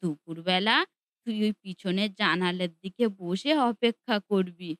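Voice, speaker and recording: A woman voicing a gentle old grandmother in a cartoon, speaking softly and tenderly to her grandson. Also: fairly low pitch, a little slow, no room sound, clean studio sound. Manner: soft, tender, soothing. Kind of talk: cartoon dubbing.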